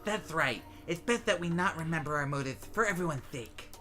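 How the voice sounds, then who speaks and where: A sly teenage boy, played by a woman, with a low voice that swings around theatrically. He wheedles like a practised liar over clearly audible music. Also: expressive, fairly quick, pushed and bright. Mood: sly, feigned sincerity. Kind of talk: anime game fandub acting. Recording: music playing behind.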